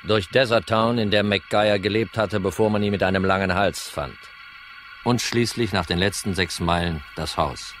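An actor playing a gruff, weary hard-boiled insurance detective in a crime radio drama, dry and laconic. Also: male voice, sits low, normal speed, a bit bright, slight background noise. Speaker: narrator and lead actor.

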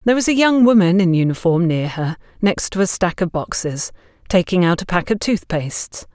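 A woman narrating a scripted story in an expressive way, as in an audiobook. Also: on the low side, moderate pace, dry recording, clean studio sound. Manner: expressive, acts out dialogue.

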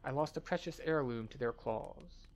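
A man voicing an earnest scholar from a fantasy game expedition, speaking in a formal, measured way. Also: medium pitch, close to an everyday voice. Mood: grave, pleading.

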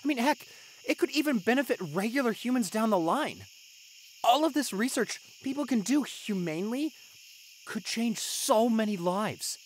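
A man acts out a devoted young vampire boyfriend in an audio roleplay. His delivery is very animated, swinging widely in pitch, tender and encouraging, with halting speech when emotional. There is clear background ambience and noise behind him. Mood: hopeful, chatty. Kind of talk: audio roleplay acting, intimate one-sided dialogue.

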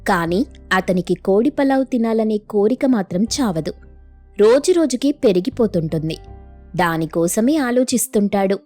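A woman's voice: mid-range voice, animated, moderate pace, a little mellow, soft background music. A woman narrating a scripted moral folk tale as the storyteller.

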